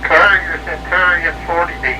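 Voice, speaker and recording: A man's voice: on the high side, little pitch movement, moderate pace. A man talking casually and ramblingly as an amateur operator over a CB radio transmission. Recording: noisy CB radio, distant-sounding transmission.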